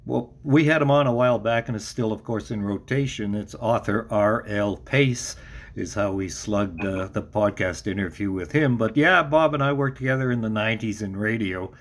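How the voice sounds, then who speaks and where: A man's voice: on the low side, moderate pace. A middle-aged man hosting a podcast interview in a casual, conversational style with a wry, joking manner.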